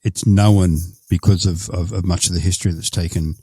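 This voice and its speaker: A man's voice: low-pitched, fairly even intonation, moderate pace, mellow, breathy edge, no echo. A man hosting an interview, asking long rambling questions with many fillers and restarts. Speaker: host.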